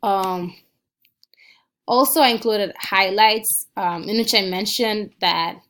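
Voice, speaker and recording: A woman's voice: mid-range voice, slow, fairly bright tone. Young woman narrating a casual screencast. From Dominican Republic. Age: 20s. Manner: casual and earnest, with frequent ums and small restarts. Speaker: student. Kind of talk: screencast walkthrough of a personal website.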